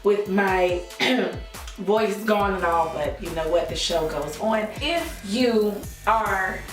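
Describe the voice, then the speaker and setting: A woman in her thirties with a hoarse, worn voice, chatting through a hair tutorial in an emphatic, friendly way. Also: medium pitch, slow, echoey room, recorded from a distance, faint music behind. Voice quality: hoarse, lost voice. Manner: chatty, emphatic, says y'all a lot. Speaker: hair vlogger. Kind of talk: casual hair tutorial chat.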